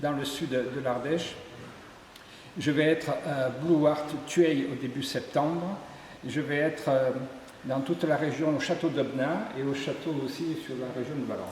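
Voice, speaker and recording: A man's voice: on the high side, darkish tone. A middle-aged man, explaining his work as a sculptor in an interview. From Ardèche, southern France. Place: indoors in an exhibition room at a tourist office. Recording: echoey reverberant hall, faint background noise.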